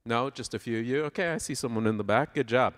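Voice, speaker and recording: A man's voice: mid-range voice, fast. A man with a Canadian accent gives an informal, playful sermon, conversational and full of questions to his listeners, in a large church hall. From Southern Ontario, Canada. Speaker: guest preacher. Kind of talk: informal sermon with audience interaction. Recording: live hall with long reverb.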